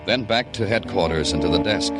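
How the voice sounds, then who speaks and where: An actor playing a world-weary police lieutenant who narrates a 1940s radio crime drama in terse, deadpan phrases. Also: male voice, sits low, a little fast, over loud music, close to an everyday voice.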